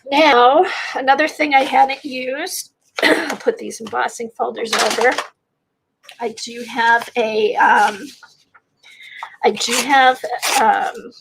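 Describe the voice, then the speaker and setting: Woman w a stuffy nose talking slow thru an art demo, kinda high voice, noisy stream audio. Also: vocal fry. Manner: rambling, thinking aloud while working. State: congested from a sinus infection. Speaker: art livestream host. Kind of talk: casual art demonstration.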